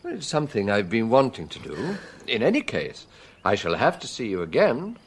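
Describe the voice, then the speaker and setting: Deep gravelly British police inspector. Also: male voice, huge pitch swings, heavily acted. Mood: gentle, businesslike. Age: middle-aged. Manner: measured, musing, gently probing. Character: veteran male police chief inspector, fatherly, quietly reflective. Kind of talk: radio drama acting.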